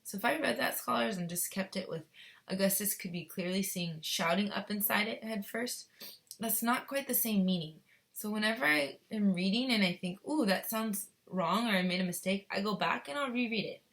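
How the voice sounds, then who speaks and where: A woman's voice: medium pitch, fairly quick, gravelly, a bit bright. A woman teacher reading a children's story aloud expressively, with explanatory asides for young pupils. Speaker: second-grade teacher.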